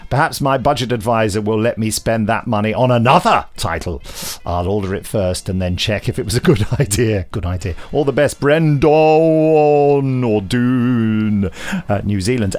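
A man hosting a podcast, reading aloud and chatting in a theatrical, emphatic way with joking asides. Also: mid-range voice. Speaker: podcast host.

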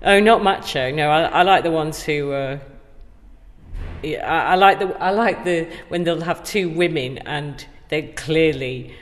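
A woman's voice: deep voice, measured pace. A middle-aged woman chatting casually as an interview guest, effusive and emphatic in the way she talks. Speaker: guest actor being interviewed. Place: indoor stairwell. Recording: very echoey stairwell.

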